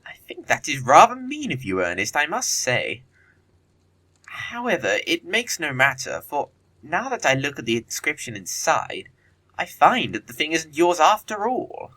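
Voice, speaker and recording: A man acting a witty, teasing young English gentleman in an audio play of a period comedy, with an arch, theatrical delivery. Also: fairly high pitch, wildly swooping pitch, unhurried, heavily acted. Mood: mock reproachful.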